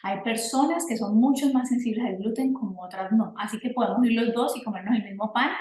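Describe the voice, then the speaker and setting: A woman's voice: a little high, a little fast, mellow, echoey room. A woman speaking as a guest expert, explaining nutrition fluently and giving advice. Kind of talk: explanatory interview answers.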